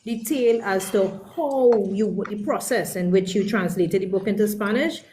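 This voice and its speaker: A woman's voice: medium pitch, normal speed, dark tone, a little hiss or hum. Woman hosting a live interview. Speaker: talk show host.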